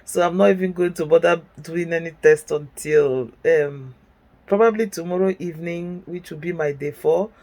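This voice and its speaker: A woman's voice: on the low side, normal speed, warm tone. A middle-aged woman vlogging from bed at home while sick, stuffy and chesty, hesitant with many fillers but staying upbeat. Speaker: vlogger, nurse. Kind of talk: casual story-time vlog.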